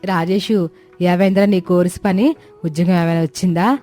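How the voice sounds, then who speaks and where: A woman's voice: lowish voice, fairly even intonation, moderate pace, mellow, faint music behind, lightly acted. A woman voicing a self-sacrificing middle-aged village mother in a cartoon story, with motherly, rustic colloquial speech. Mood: warm, chatty.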